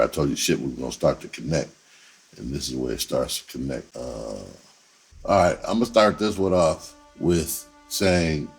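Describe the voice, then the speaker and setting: A man's voice: rumbling low, husky. A middle-aged American man telling personal stories casually, candid and streetwise, repeating words for emphasis. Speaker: entertainer telling autobiographical stories. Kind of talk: casual spoken storytelling.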